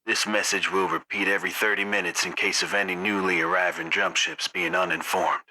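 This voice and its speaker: A man's voice: sits low, little pitch movement, brisk, sharp tone, full character voice, poor audio. A man playing a stern military officer in a tabletop game, reading a formal, official emergency radio bulletin in a clipped manner. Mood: urgent, grim.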